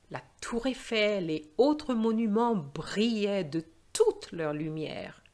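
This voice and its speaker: A woman teacher reading and presenting in a slow, expressive storytelling style for language learners. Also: on the low side.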